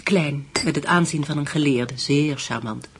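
A man's voice: fairly high pitch, measured pace, noisy background. An actor playing an earnest, courteous man who explains what he knows, intent and polite, in a radio drama. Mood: polite, informative. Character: earnest, courteous male informant helping an investigation. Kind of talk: Dutch radio play acting.

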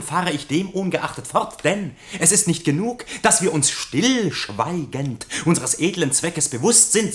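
An actor playing a pompous, long-winded chairman of a literary society in a German radio play, speaking in a deliberate, oratorical way. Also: fairly high pitch. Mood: pompous, solemn. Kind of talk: German radio play acting, mock-solemn speech.

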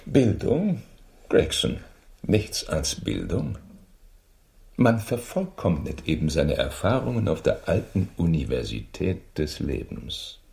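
An actor in a German radio drama playing a wry, self-assured master detective with a gravelly voice and dry delivery. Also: male voice, deep voice, big pitch swings, takes it slowly, a little mellow, heavily acted. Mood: smugly amused.

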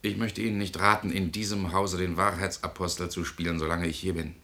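A man's voice: sits low, level tone, brisk, sharp tone. A man playing a cynical, mocking doctor in a German radio play, with a sardonic, blunt way of talking. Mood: threatening. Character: cynical, mocking male doctor, worldly realist.

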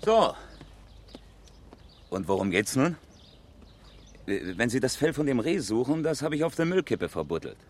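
A man's voice: medium pitch, sing-song, unhurried, long pauses. A man acting as an engineer and amateur rally driver in a 1970s German radio crime drama, flippant and defensive in manner. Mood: breezy, mocking.

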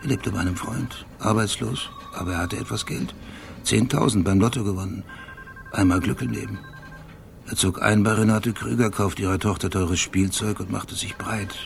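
An actor playing an obsessive police detective in a German radio drama, recounting things in a measured, deliberate, terse way. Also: male voice, on the low side, steady tone, gravelly, soft background music. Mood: grim, precise. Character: obsessive male police detective, precise brooding narrator haunted by memory.

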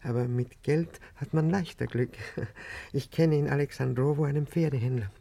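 An actor playing an anxious, ailing old banker in hiding in a 1950s radio play, husky and halting, often repeating his words. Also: medium pitch, fairly even intonation, dark tone. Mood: nervous, confiding. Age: older. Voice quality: husky, gravelly.

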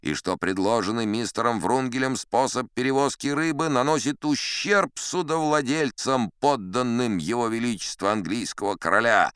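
An actor playing a pompous, scheming English yacht-club gentleman who accuses in high, indignant oratory. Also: normal speed, few pauses, clean tone, no echo, studio quality, lightly acted. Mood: indignant accusing.

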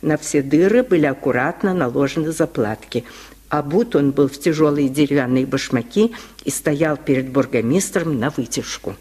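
A man narrating a literary tale in a measured, expressive way, on a 1950s radio recording. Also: a little high, breathy edge, long reverb tail. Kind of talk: scripted literary storytelling. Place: radio studio.

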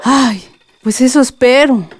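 An actress playing a doting, fretful middle-aged village mother with a Mexican accent, exclamatory and expressive, in a radio drama. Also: high-pitched, unhurried. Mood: worried disapproval.

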